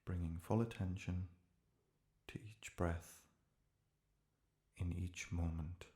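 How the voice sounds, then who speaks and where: A man gently leading a guided relaxation meditation in a calm, measured way, leaving pauses between short phrases. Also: deep voice.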